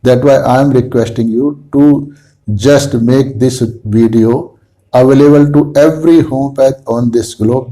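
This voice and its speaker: A man with an Indian accent, a native Hindi speaker, giving an earnest lecture on homeopathy to camera, slow and halting with restarts. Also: mid-range voice, dark tone, low-quality recording. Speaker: homeopathy teacher.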